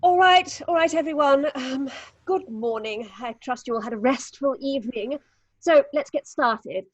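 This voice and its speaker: A woman's voice: high-pitched, normal speed, no room sound, lo-fi sound, close to an everyday voice. A woman playing an exacting, condescending theatre director in an audio drama, grandly theatrical with her darlings and dears. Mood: brisk, commanding. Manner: condescending, theatrical, calls people darling and dear. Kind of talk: dramatic audio fiction acting.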